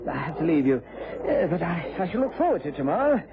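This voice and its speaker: An actor playing a genial, effusive retired British gentleman turned country squire in a period radio drama. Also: a little high, sing-song, quick pace. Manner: effusive, hesitant, polite. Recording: tinny old radio broadcast, crowd noise.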